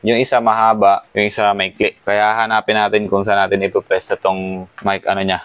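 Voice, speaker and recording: A man's voice: on the low side, completely flat delivery, brisk, fairly bright tone, clear, resonant tone, no echo. A Filipino man giving a casual hands-on installation walkthrough, thinking aloud as he works. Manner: thinking aloud while working with his hands. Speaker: motorcycle gear vlogger.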